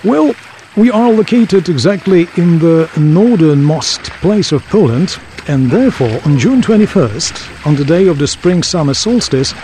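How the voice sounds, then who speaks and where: A man acts as a well-informed local guide in a scripted audio play. His fairly high voice swings expressively as he presents information formally and helpfully. Background ambience sits under the speech. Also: expressive, unhurried, dark tone, slight background noise, low-quality recording. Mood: informative, obliging. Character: knowledgeable, obliging male local tour guide. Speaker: tour guide.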